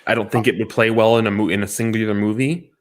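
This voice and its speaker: A man's voice: fairly low pitch, steady tone, fast. A man co-hosting a casual, opinionated talk show, speaking emphatically and repeating phrases for stress. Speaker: podcast co-host reviewing movies and games.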